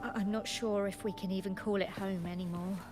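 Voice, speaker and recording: A woman's voice: mid-range voice, fairly even intonation, brisk, natural voice. A woman playing a blunt, pragmatic female outcast in a video game, with a no-nonsense manner. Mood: gruff consoling. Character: blunt, pragmatic banished woman consoling a companion. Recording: very loud music over the voice. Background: loud game music.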